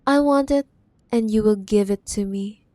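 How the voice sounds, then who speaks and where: A woman's voice: mid-range voice, mellow, no echo, natural voice. Possessive, teasing villainess ex-girlfriend CEO acted by a woman, dry close sound. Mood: demanding, possessive. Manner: teasing, condescending, drawn-out words with pet names. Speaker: audio roleplay voice actor. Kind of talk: ASMR audio roleplay acting.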